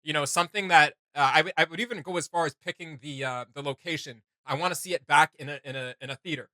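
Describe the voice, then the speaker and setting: A man's voice: on the high side, quick pace, pushed and bright, dry recording. A man chatting casually as a reaction host, rambling enthusiastically as he thinks aloud.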